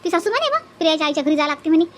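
A woman's voice: high-pitched, sing-song, very fast. A woman voicing a bossy, chatty cartoon village aunt with a Vidarbha Marathi accent, nagging and repeating herself. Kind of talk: exaggerated comic cartoon dialogue.

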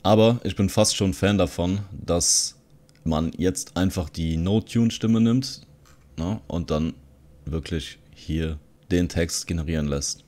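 A man talks in a low voice. He presents like an online video host walking through software on screen. His delivery is casual and conversational, explaining as he goes. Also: measured pace. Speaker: video host, content creator. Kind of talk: casual screen-recording tutorial commentary.

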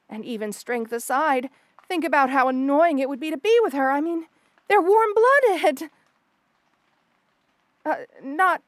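A woman's voice: sits high, no room sound. A woman voicing an obsessive, jealous lamia monster girl in an intimate audio roleplay, flustered, boastful and gushing, with stammers.

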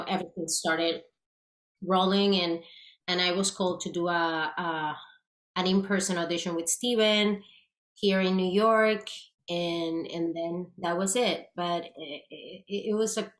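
Puerto Rican woman, animated and roomy. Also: fairly low pitch. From Puerto Rico. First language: Spanish. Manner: animated, gestures a lot, restarts often. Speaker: actress and singer guest. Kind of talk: casual interview chat. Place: small room with plain grey wall, indoors. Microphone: video call webcam. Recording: roomy echo.

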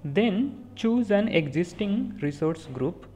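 A speaker giving a step-by-step software walkthrough as a voice-over, calmly talking through each click and sometimes correcting a word mid-sentence. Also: medium pitch, slow pace. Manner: steady step-by-step instructions with small self-corrections. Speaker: tutorial instructor. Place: voice-over recorded apart from the screen capture.

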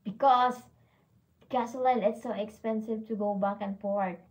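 Filipino woman, 40s, livestream host, slow casual delivery, slips into Tagalog, slightly lo-fi sound. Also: mid-range voice, fairly even intonation, darkish tone. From Philippines. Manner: mixes Tagalog words into English. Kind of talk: casual livestream chat, reading viewer comments. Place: room at home. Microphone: phone at arm's length.

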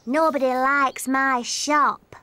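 A woman playing a bossy, excitable little girl princess in a cartoon, with a childlike voice and dramatic, emphatic delivery. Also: sits high, slow pace, full character voice. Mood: glum.

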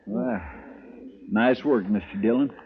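An actor playing a deferential, eager marshal's deputy in an old radio western. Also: male voice, medium pitch, measured pace, lightly acted. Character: folksy, dutiful marshal's deputy. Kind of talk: 1950s radio western acting. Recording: dull old radio recording.

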